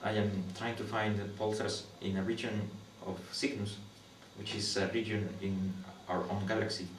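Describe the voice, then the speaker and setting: A young man from Guatemala talks through his astronomy research in halting second-language English, full of pauses and restarts. His voice sits on the low side. He is recorded from a distance in a roomy space, with faint noise behind him. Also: little pitch movement. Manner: halting, many fillers and restarts, thoughtful. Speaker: PhD student in astronomy. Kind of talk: interview, explaining his research.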